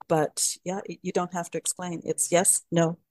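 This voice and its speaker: Older woman, podcast host, anecdotal, measured, lowish pitch. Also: flat delivery, mellow, no echo, studio quality. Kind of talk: casual conversation.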